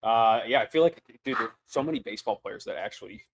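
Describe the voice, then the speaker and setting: A man's voice: medium pitch, fast talker, a little hiss or hum. A man hosting a casual podcast interview, laid-back and heavy on fillers. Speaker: podcast host.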